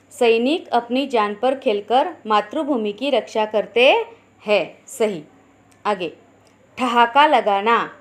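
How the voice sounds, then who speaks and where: Slow-speaking woman teaching a Hindi lesson. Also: on the high side. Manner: slow, explaining, asks questions then answers them. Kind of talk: Hindi grammar lesson for schoolchildren.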